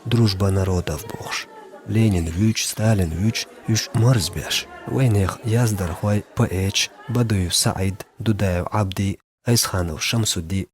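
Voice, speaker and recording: Over clear background music, an actor plays a grave man in an animated drama. His voice is very low and he speaks slowly, in short, solemn phrases. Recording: voice under loud background music.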